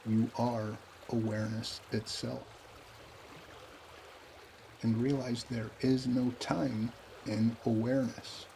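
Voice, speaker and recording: A man leading a guided meditation in a calm, unhurried way, with pauses between short phrases. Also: mid-range voice, gravelly, slight background noise.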